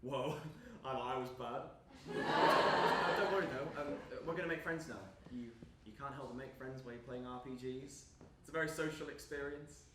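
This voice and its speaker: A man's voice: mid-range voice, normal speed, natural voice. A young man acting on stage as an awkward, eager, lonely gamer, rambling and apologising nervously. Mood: awkward, friendly. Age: young adult. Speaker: actor in a stage play. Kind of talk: live stage acting, naturalistic dialogue. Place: indoor stage performance space. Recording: echoey room from far off, background noise.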